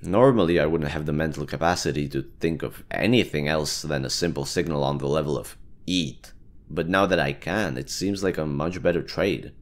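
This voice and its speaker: Guy doing a low voiced amoeba living in your head, casual and chatty, talking you into a deal, audio roleplay. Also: normal speed. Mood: persuasive. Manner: casual, persuasive, explaining. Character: chatty, bargaining brain-eating amoeba parasite living in a head. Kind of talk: one-sided audio roleplay addressed to the listener.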